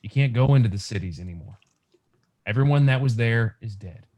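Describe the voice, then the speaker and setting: A man's voice: mid-range voice, animated, brisk, darkish tone, lightly acted. A man acting a young, practical, reassuring scavenger survivor in an urgent, conversational comic book table read over a video call. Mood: grave, sympathetic.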